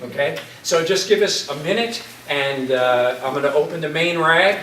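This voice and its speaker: A man giving an informal, anecdotal introduction as a theater director, halting and pausing often. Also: fairly high pitch, fairly bright tone. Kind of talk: informal live introduction speech. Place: community center stage. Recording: echoey hall, distant mic, background noise.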